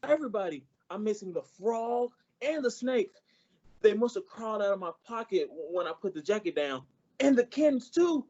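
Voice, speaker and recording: A man's voice: sits high, animated, normal speed, darkish tone, dull audio. A man playing a naive, good-natured teenage schoolboy, earnest and polite, in an old-time radio comedy. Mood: proud, then worried.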